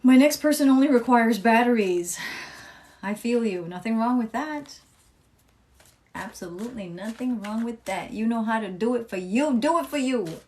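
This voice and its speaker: A young adult woman giving a casual live tarot reading, with a calm, soothing voice, chatting, swearing freely and reading viewer comments aloud. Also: fairly high pitch, moderate pace. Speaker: tarot reader live-streaming.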